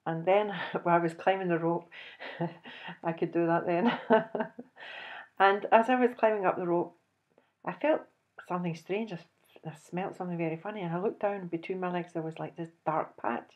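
Scottish lady in her 50s chatting to camera, lowish voice, chuckly storyteller, bit lo-fi sound. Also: moderate pace. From Scotland. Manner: animated, chuckles often, acts out dialogue. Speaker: host. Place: indoors, small room, plain backdrop.

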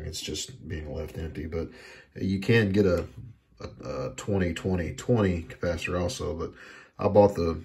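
A man giving a casual hands-on repair how-to, talking haltingly, repeating words and trailing off. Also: sits low, creaky. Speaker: hobbyist demonstrating electronics repair. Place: indoors at a workbench.

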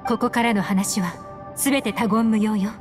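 A woman playing a composed, secretive investigator in Japanese anime game dialogue, speaking in measured, poised phrases. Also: medium pitch, fairly even intonation, soft background music, lightly acted. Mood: grave, confiding.

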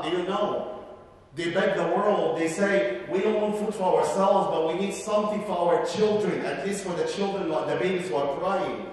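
A man in his forties giving a sermon as guest imam, impassioned, swinging between loud urging and hushed asides. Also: high voice. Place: large mosque prayer hall. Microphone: handheld mic. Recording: roomy hall reverb, mic from a distance.

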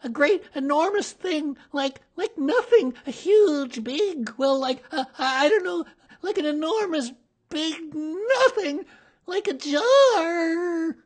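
A performer voicing a small, timid piglet in a storybook, with a squeaky, strained voice and a frantic, stammering manner. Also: very slow, full character voice. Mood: breathless, frightened.

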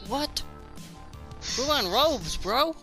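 A young man chatting casually as he plays a co-op survival game, joking and slangy, with excitable delivery. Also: very high-pitched, takes it slowly, over music. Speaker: gamer, video host. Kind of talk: casual chat while playing a co-op survival game.

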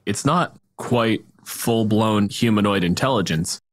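A man's voice: on the low side, a little slow. A man from Canada co-hosting a podcast, moving between discussion and read-out descriptions. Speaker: podcast co-host, physicist. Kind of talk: podcast discussion and scripted reading.

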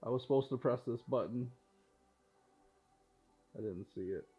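A man's voice: medium pitch, moderate pace, husky. Man, middle-aged, casual tutorial delivery, matter-of-fact, slightly lo-fi sound, faint music behind. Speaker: product demonstrator at a powersports tuning shop. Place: large indoor vehicle workshop.

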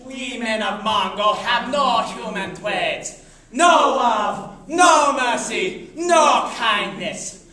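Man playing a high-pitched pompous villain emperor. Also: sharp tone, heavily acted. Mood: cold menace. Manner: drawn-out, menacing, theatrical. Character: pompous, merciless alien emperor, radio serial villain. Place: classroom, indoors. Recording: echoey classroom, distant noisy camera.

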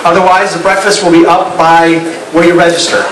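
A man's voice: fairly high pitch, level tone, brisk. A man, a company president, giving a casual, jokey welcome speech over a handheld mic at a crowded indoor party. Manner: upbeat, rambling, jokey with the audience. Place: crowded bar with big screens, indoors. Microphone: handheld mic, heard through loud speakers, filmed from a distance. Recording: echoey room, far from camera, crowd noise.

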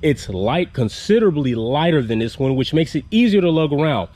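Blunt, animated man reviewing outdoors. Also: a little high, brisk. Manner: blunt, animated, swears casually. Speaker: car channel host. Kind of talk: casual product review vlog. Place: outdoors in a suburban driveway. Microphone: handheld camera held at arm's length.

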